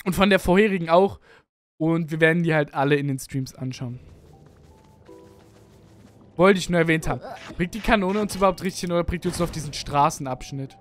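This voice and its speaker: A man's voice: on the high side, brisk, pauses often, faint music behind. A young man with a Berlin accent, chatting casually as he plays through a video game for his channel. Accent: Berlin German. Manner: chatty, rambling, self-mocking. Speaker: let's play streamer. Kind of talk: casual gameplay commentary. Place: home gaming setup, indoors. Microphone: studio mic on arm, headphones.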